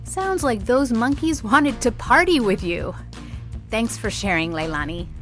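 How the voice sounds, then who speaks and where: A woman's voice: a little high, animated, unhurried, a bit bright. A woman reading scripted credits and announcements as the host of a children's podcast. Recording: clear music bed under voice.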